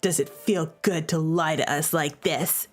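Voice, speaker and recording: A sullen teenage boy, voiced by a woman reading a game aloud, pitched somewhat low for her and full of accusation. Faint music plays under him. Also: fairly even intonation, a little slow. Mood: angry, accusing. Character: teenage brother, angry and defensive.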